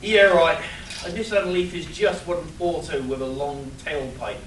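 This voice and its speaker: A man's voice: a little high, steady tone, moderate pace, fairly bright tone, lightly acted. A man playing an exasperated, sarcastic young superhero sidekick in a live comic radio play, whiny and incredulous. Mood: incredulous, argumentative. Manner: whiny, incredulous, swooping into outbursts. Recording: roomy, distant live stage capture, faint noise.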